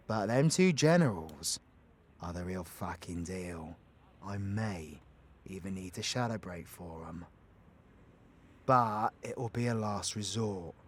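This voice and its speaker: A man's voice: low voice, breathy edge, heavily acted. A British man playing a cocky, foul-mouthed but protective supervillain in an audio roleplay, with brash, teasing, slangy delivery. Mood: intimate, protective. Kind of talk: audio roleplay acting addressed to the listener.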